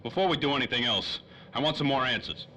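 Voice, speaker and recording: A man plays a hard-boiled radio-play detective with a bright, forceful voice. He talks fast and clipped, a wary wisecracker who wants straight answers. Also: mid-range voice, slight background noise, lightly acted, rough recording. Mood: demanding. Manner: clipped, wisecracking tough-guy talk. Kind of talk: 1930s old-time radio drama acting.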